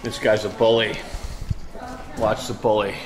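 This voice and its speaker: A man's voice: lowish voice, level tone, a little slow, rough recording. Middle-aged man vlogging over music. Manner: playful, joking. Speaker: vlog host. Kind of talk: casual vlog chat. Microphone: action camera at arm's length.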